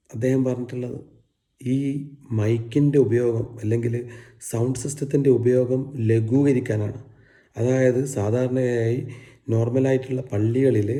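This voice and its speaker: A man with a very dark, soft-edged voice. He talks at a medium pitch in an informal commentary style. His delivery is deliberate and emphatic, with English words mixed in. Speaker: vlogger giving commentary. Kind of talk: informal opinion commentary to camera.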